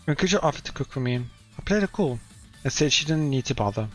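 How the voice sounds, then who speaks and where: A man's voice: medium pitch, animated, fast, soft background music, natural voice. A man reading aloud as a wry, self-deprecating teenage schoolboy narrating his own story from a visual novel, in a dry manner.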